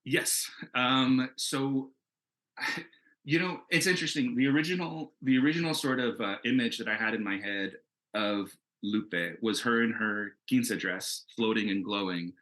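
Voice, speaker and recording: A man's voice: mid-range voice, a little slow, fairly bright tone, rough recording. A man talking casually and enthusiastically as a comic book creator in a panel discussion, thinking aloud as he goes.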